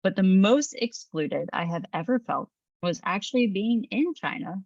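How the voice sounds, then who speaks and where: A woman in her thirties reading a training presentation in a calm, measured, reflective way. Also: mid-range voice, no echo, dull audio. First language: English. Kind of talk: scripted training narration.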